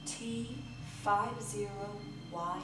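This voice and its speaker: A woman giving a dramatic, deliberate reading of a scripted story live on a theatre stage. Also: mid-range voice, over music. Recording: roomy live theatre, distant, noisy.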